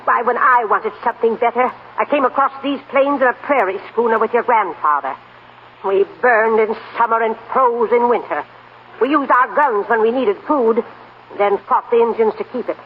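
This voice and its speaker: A woman's voice: fairly high pitch, normal speed, close to an everyday voice. Blunt old granny woman acting, no-nonsense radio drama, tinny old hissy recording. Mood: feisty, resolute. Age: older. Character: feisty old pioneer grandmother, blunt but encouraging.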